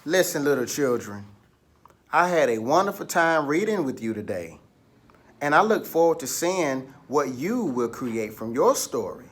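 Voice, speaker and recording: A man's voice: mid-range voice, very animated, measured pace, fairly bright tone, a little hiss or hum. A man reading and retelling stories for children, speaking to them directly and asking them questions. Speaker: children's story reader. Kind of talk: storytelling read-aloud for children.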